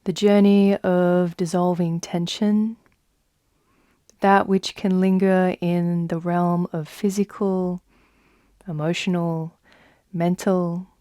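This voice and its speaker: A woman guides a meditation at a very slow pace, leaving long pauses between phrases. Her voice is soft, mellow and fairly low. The sound is close and dry, and her delivery is soothing throughout. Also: no echo. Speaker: yoga nidra guide.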